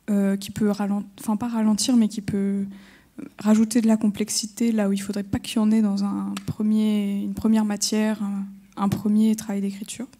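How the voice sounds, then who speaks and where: A woman's voice: medium pitch, gravelly, mellow. A woman poet at a round-table discussion, thoughtful and correcting herself as she goes.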